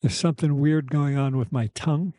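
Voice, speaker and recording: Mature male podcast host, mellow soft voice, slightly weak and lisping, halting candid delivery, dry close sound. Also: fairly high pitch, fairly even intonation, dry recording. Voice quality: slight lisp, thick-tongued articulation. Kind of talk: reflective personal conversation.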